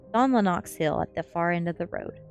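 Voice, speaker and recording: A woman's voice: lowish voice, expressive, fairly quick, a little mellow, soft background music. A woman narrator voicing a helpful, matter-of-fact museum worker in conversational storybook dialogue.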